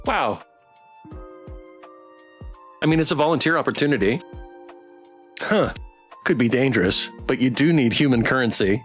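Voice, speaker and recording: A man's voice: medium pitch, lively intonation, normal speed, pauses often, a bit bright. A man streaming a game playthrough, chatting casually, reading narration and thinking aloud. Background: music.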